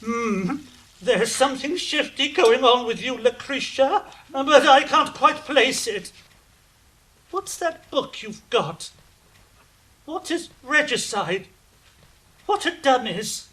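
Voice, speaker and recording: Woman playing a high, ponderous, suspicious busybody. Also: expressive, slow pace, pauses often, a bit bright, exaggerated voice. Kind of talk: comic audio drama dialogue.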